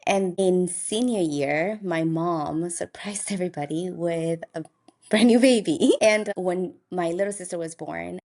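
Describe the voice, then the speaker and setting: A woman's voice: on the low side, a little mellow. A young woman from Colombia, an optometrist telling her story in a casual interview and laughing now and then. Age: young adult. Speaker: optometrist guest.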